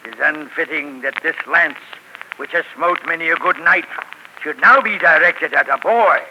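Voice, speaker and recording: An actor playing an arrogant champion knight who mocks a young rival, in 1940s radio acting. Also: male voice, on the high side, sharp tone. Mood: haughty. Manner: haughty, slow and mocking. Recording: noisy 1940s radio broadcast.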